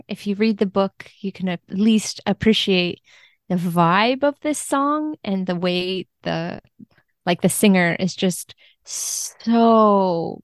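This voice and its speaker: A woman's voice: mid-range voice, no room sound, clean studio sound. A woman speaking as a podcast guest in casual, informal conversation. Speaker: podcast guest, book translator.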